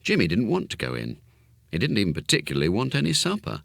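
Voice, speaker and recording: A man reading a children's story expressively and with measured phrasing. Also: lowish voice, huge pitch swings, vocal fry, a bit bright, no room sound, studio quality. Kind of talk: scripted children's story narration.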